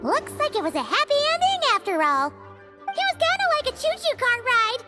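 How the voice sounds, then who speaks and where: Tiny fairy girl, extremely high squeaky voice, excitable, cheerful, game cutscene, loud music behind. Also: normal speed, exaggerated voice. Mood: cheerful, satisfied. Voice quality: squeaky, childlike. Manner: speaks of herself in the third person. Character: cheerful little floating fairy girl companion, childlike and excitable. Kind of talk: video game cutscene acting.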